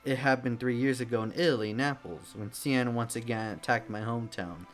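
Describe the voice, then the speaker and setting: A man's voice: mid-range voice, fairly quick, faint music behind, lightly acted. A man voicing a grim male Italian resistance captain who gives orders and recounts his past. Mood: grave.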